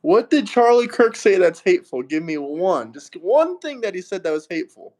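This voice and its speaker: A man's voice: sits high, sing-song, moderate pace, darkish tone. A man hosting a livestream, arguing heatedly and mockingly with a caller and asking viewers for super chats. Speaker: livestream host. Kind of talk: heated political livestream debate.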